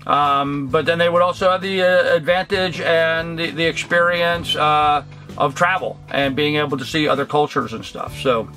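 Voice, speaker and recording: An older man talking earnestly to the camera from a cluttered workshop, reflective and halting with many ums and restarts. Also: on the high side, bright, forceful tone. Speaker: vlogger. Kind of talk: casual talk to camera. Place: cluttered indoor workshop room.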